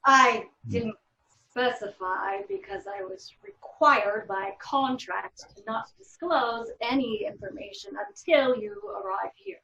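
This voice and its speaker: A woman reading the part of a composed lawyer in her fifties, formal and measured, for a TV drama table read. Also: fairly high pitch, lively intonation, vocal fry, pushed and bright, roomy sound, highs cut off, full character voice. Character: Madeline, composed 55-year-old female small-town lawyer.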